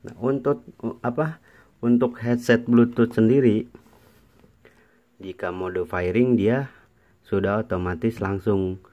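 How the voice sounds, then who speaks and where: Male Indonesian vlogger, low register, informal and halting, walking through product features conversationally. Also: muffled recording. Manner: informal, halting, repetitive explaining. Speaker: motovlogger presenting a product explainer. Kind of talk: casual product explainer to camera.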